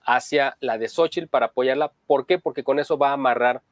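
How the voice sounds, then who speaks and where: A man co-hosting political news commentary, talking in a steady, opinionated way. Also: medium pitch, a little fast, no room sound, clean studio sound.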